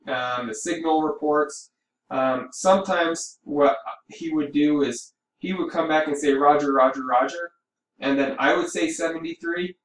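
A man giving a casual, explanatory software walkthrough as a hobby radio operator, thinking aloud as he goes. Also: medium pitch. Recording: roomy, distant mic with background noise.

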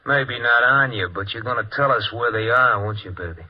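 An actor playing a curt, sarcastic crime boss who threatens in clipped gangster slang, 1950s radio drama style. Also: male voice, medium pitch, brisk, breathy edge, close to an everyday voice. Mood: sneering menace. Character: hard-boiled male crime boss, curt, sneering, threatening. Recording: old noisy muffled radio recording.

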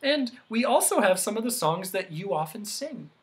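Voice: medium pitch, very animated, moderate pace, voice pushed up, close to an everyday voice. A storyteller voicing a stern, bossy woman who keeps sounds, speaking in a commanding, scolding way. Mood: wistful.

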